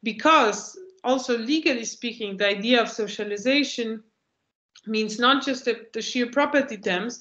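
A woman's voice: a little high, fairly bright tone, muffled recording. Woman on an echoey video call. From Poland. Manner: thoughtful, restarts phrases while searching for words. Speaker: lecturer. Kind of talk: conversational lecture answer. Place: home room with bookshelves. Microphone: laptop mic on video call.

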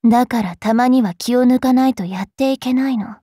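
A woman voicing a bratty, cruel young fairy witch in an anime game, haughty and taunting with a rough tongue. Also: fairly high pitch, brisk, warm tone, dry recording, studio quality. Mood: aloof, prickly. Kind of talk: Japanese anime game character voice lines.

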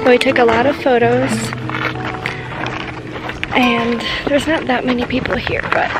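A woman's voice: a little high, flat delivery, a little slow, over loud music, poor audio. A young woman in her twenties casually vlogging a road trip, chatty and full of "like" fillers, filming at arm's length. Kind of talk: casual travel vlog. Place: outdoors and in a car on a road trip. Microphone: handheld camera at arm's length.

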